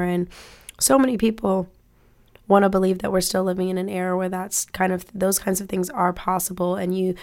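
Woman, 20s, student vlogger. Hoarse and raspy, lowish with fry, loose rambling delivery. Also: darkish tone. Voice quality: raspy, like a smoker. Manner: rambling, says "like" often. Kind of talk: casual talk to camera.

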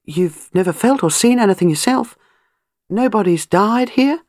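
A woman's voice: mid-range voice, expressive, normal speed, darkish tone, dry recording, studio quality, voice pushed up, close to an everyday voice. A woman voicing an eager, impulsive young wife, musing in an audiobook. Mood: anxious.

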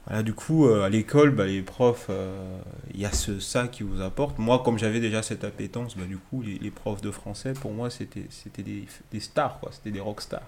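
Man in his 30s from alsace, low-ish voice, easygoing storyteller, bit of background hiss. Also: moderate pace, few pauses. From Alsace, France. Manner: relaxed storytelling, frequent fillers. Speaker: guest artist. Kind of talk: casual interview answers. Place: apartment.

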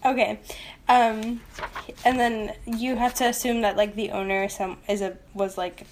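A woman co-hosting a casual podcast chat, speaking haltingly with plenty of "like" in her sentences. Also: a little high, flat delivery, slight background noise. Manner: halting, heavy use of "like". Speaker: podcast co-host.

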